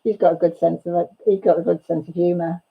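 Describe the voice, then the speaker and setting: A woman's voice: fairly low pitch, flat delivery, fast, vocal fry, muffled recording. A middle-aged woman with a Welsh accent, hosting a casual chat and reading viewer comments aloud with giggles and playful repetition. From Wales. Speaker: channel host.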